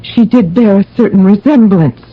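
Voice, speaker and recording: A woman's voice: medium pitch, normal speed, slightly rough. A woman acting as a solemn, grieving older mother in an old-time radio drama, formal and portentous. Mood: solemn, troubled. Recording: dull old radio recording.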